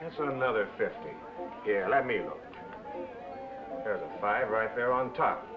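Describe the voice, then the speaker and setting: A man's voice: on the low side, animated, a little slow, rough recording. An actor playing a patient, wry barman in a 1950s crime film. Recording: old film soundtrack with music behind.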